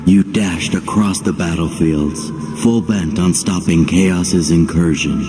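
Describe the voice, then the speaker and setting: Game cutscene role: grave, commanding bodiless guide, deep pitch, cavernous echo. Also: male voice. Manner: grave, portentous, deliberate. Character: solemn, commanding disembodied male guiding voice. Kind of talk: video game cutscene acting. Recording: reverberant hall echo, music behind.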